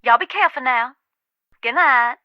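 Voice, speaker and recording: A woman's voice: high-pitched, moderate pace, dry recording, dull audio, lightly acted. An actress playing a wry, haunted Southern woman who knows about ghosts, with a folksy, dramatic, teasing manner in a video game. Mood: regretful, wry. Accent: American South.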